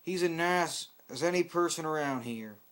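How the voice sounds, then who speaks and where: A man's voice: fairly high pitch, moderate pace, a bit bright, clean tone, pitched above the performer's own voice, lightly acted. A man voicing a friendly engineer with a put-on Texan drawl. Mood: reassuring. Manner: drawling, folksy.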